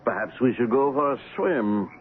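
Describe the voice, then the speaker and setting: An actor playing a bitter, feverish assistant ivory trader, sarcastic, defiant and high-strung, in a 1970s radio drama. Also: male voice, animated, normal speed, close to an everyday voice. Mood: dry, sarcastic. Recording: noisy, tinny 1970s radio broadcast.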